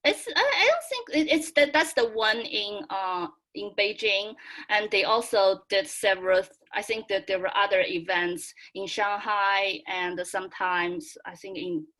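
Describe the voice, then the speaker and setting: A young adult woman from China with a Chinese accent, chatting casually in halting, excitable, giggly English on a video call. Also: mid-range voice, poor audio. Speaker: student and fan club member.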